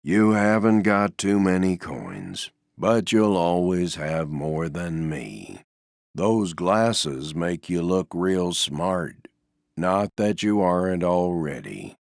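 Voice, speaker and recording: A glum, gentle stuffed donkey from a video game, speaks in a very low, slow drawl full of gravel. The delivery is deadpan and resigned, often trailing off at the ends. The recording is close and dry. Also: male voice, dry recording, heavily acted. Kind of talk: video game character voice lines.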